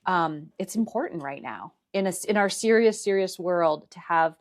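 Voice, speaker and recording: A woman in her forties hosting an interview podcast, asking measured questions and affirming her guest. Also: lowish voice, lively intonation. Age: 40s. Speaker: host.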